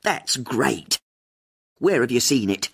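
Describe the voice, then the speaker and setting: A man reading aloud, voicing a brisk, upbeat woman who presents a local radio show. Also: medium pitch, very animated, creaky, a bit bright, breathy edge, heavily acted. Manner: upbeat radio personality, breezy.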